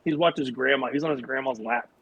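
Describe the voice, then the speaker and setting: A man in his forties throwing in quick reactions and chuckles during casual gaming banter. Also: mid-range voice, lo-fi sound, a loud crowd. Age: 40s. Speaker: podcast co-host.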